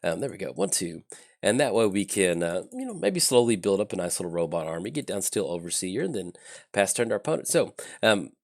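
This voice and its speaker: A low-voiced man talks through his card game moves in a loose, thinking-aloud way, full of ums and uhs. His delivery swings up and down with lively intonation. He is getting over a chest cold, so his throat sounds a little scratchy. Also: brisk. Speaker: channel host. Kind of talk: casual card game commentary.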